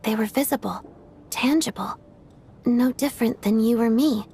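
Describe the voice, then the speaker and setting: An actress playing a gentle, inquisitive young woman in a video game cutscene, speaking in a reasoned, questioning way. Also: on the high side, normal speed, faint music behind, lightly acted. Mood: curious, serious.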